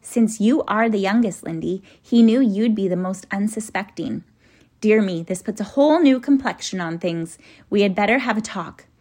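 A woman's voice: medium pitch, moderate pace, a little mellow, husky, close to an everyday voice. Woman reading as a grave, kindly male professor. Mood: explaining, concerned. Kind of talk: children's storybook character voice.

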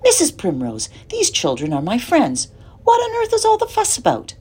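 A jolly, fussy little gentleman, as a woman reads him aloud in a storybook, squeaking way up high and exclaiming at every turn. His voice is slightly husky, and he swings wildly in pitch. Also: wildly swooping pitch, normal speed, exaggerated voice. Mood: delighted. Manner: exclaiming, bubbly. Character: small, funny, cheerful fussy gentleman.

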